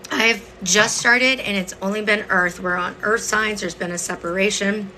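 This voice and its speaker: A woman with a slightly hoarse voice giving a casual live tarot reading, chatting to viewers and checking in as she explains. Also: medium pitch, steady tone, normal speed, sharp tone, slight background noise, rough recording. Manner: conversational, explanatory, frequent "okay?" and "right?" check-ins. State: voice partly lost, hoarse. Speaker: tarot reader hosting a livestream.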